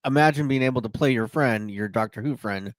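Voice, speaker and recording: A man's voice: medium pitch, fairly quick, no echo, clean studio sound. A man co-hosting a podcast in casual chat, eagerly explaining how a card game works. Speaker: podcast co-host.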